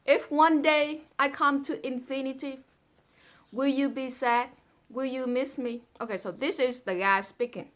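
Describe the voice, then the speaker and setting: A woman's voice: high-pitched, fairly even intonation, a little slow. A woman with a Vietnamese accent, a native Vietnamese speaker, casually reading a poem with playful, chatty asides. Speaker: presenter reading a translated poem.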